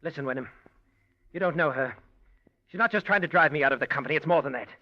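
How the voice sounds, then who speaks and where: A man's voice: on the high side, lightly acted. An actor playing a proud, frightened male ballet dancer in an old radio drama. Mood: agitated. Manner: agitated, defiant, fast. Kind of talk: 1940s radio acting. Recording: muffled old radio recording.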